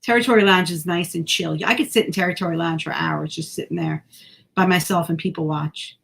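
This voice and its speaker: A woman's voice: fairly low pitch, fairly even intonation, brisk, creaky, fairly bright tone. A woman co-hosting a podcast in casual chat, talkative and self-deprecating, sometimes tripping over her words. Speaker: podcast co-host.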